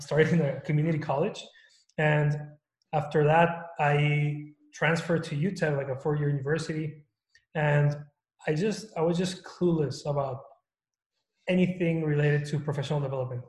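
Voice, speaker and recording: Echoey man from Mexico, halting. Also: on the high side, darkish tone. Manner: halting, many restarts and fillers. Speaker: guest. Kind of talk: casual interview chat. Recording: echoey room.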